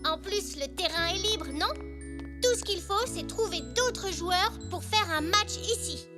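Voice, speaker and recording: Children's cartoon: young boy zebra, very high voice, upbeat, encouraging, full of initiative, music underneath. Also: lively intonation, unhurried. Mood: enthusiastic. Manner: eager, encouraging. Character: cheerful, resourceful little boy zebra.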